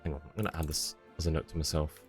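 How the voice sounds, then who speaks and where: Very low-voiced man streaming a game. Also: little pitch movement, rapid-fire, soft background music. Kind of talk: casual chat while playing a game for viewers.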